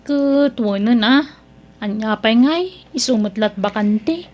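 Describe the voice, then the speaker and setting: A woman's voice: fairly high pitch, slow pace, darkish tone. A woman acting a proud, complaining young niece in an Ilocano radio-style drama, with an Ilocano Filipino accent and a whiny, haughty manner. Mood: hesitant, pleading. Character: proud young niece Mira, reluctant new restaurant dishwasher, complaining daughter.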